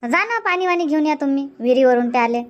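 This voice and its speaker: A woman's voice: high voice, animated, fast, highs cut off. A woman voicing a bossy, chatty farmer's wife in a comic cartoon, with a rustic Varhadi Marathi accent. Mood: insistent. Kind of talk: comic cartoon dialogue dubbing.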